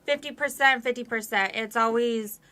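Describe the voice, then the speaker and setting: A woman's voice: on the high side, normal speed, pushed and bright, clean tone. A woman in her twenties, a podcast guest, talking candidly and at length with many fillers. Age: 20s. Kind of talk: casual conversation.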